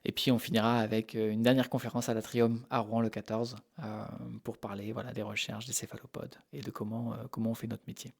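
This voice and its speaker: A man's voice: on the low side, level tone, a little fast, creaky, hardly any pauses. A man from Normandy answering interview questions as a researcher, informally and with hesitant, repeated words as he explains. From Normandy, France. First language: French. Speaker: interview guest, animal behaviour researcher.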